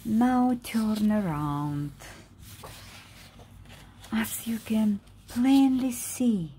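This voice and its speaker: Very slow, expressive woman reading aloud. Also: on the high side, a little hiss or hum. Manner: slow, dramatic, expressive delivery with simple explanations. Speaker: picture-book reader. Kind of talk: read-aloud storytelling with asides to young listeners. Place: indoors at a table.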